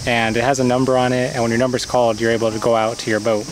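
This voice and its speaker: A young man in his twenties talks to a camera held at arm's length by a river outdoors. His voice sits at a middle pitch, and his manner is easygoing, with a few fillers. Faint insects chirp behind him. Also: flat delivery, racing, noisy background. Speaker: travel vlogger. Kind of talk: casual chat.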